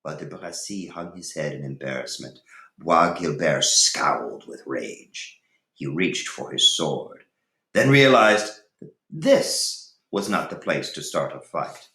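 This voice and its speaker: A man's voice: a bass voice, animated, slow, sharp tone. A man reading a story aloud in a dramatic narrating style. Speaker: reader of a storybook. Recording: roomy, echoey room.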